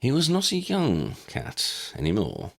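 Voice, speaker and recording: Man playing a courteous old gentleman, lively intonation. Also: mid-range voice, vocal fry, pitched above the performer's own voice, full character voice. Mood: gently reassuring. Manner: measured, courteous, unruffled, formal. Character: calm, courteous old man, philosophical cat owner. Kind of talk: audiobook character voice.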